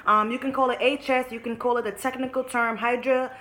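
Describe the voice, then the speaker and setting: A young woman with a slightly raised pitch talks to her viewers in a frank, unfiltered vlog. She swears casually, addresses them as y'all, and speaks. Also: steady tone, fast talker, a bit bright, slight background noise. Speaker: vlogger. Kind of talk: candid storytelling to camera.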